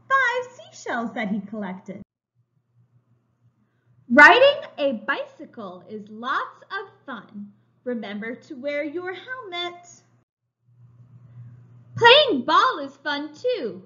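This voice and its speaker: A woman with a high, bright voice reads aloud to small children like a preschool teacher. Her delivery swings widely in pitch and is very expressive, with emphatic words. The recording is a little dull, like a home webcam. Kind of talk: children's story read-aloud. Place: room at home, indoors. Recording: slightly dull, lo-fi webcam sound.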